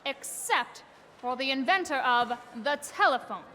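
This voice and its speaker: A bright-voiced ragtime singer. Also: female voice, sits high, animated, measured pace, a little hiss or hum, heavily acted. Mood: wry. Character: female roadside singer turned stage performer. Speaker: stage singer. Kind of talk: ragtime song performance. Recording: with loud piano backing.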